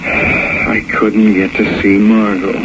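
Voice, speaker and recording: An actor playing a hotheaded, lovesick young combat pilot in a 1970s radio drama, speaking informally and impatiently. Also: male voice, lowish voice, flat delivery, slow pace. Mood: groggy, confused. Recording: muffled, noisy 1970s radio recording.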